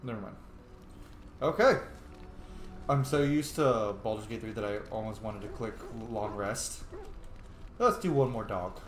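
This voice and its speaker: A man's voice: on the low side, a little slow, long pauses. A man hosting a roleplay let's play, chatting casually and improvising replies to game characters. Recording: roomy echo, faint background noise.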